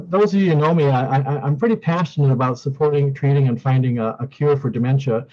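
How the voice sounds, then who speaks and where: Older man, co-chair hosting a video call; halting, filler-heavy, dull lo-fi sound. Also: medium pitch. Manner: hesitant, many fillers. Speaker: co-chair and meeting host. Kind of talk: casual webinar hosting.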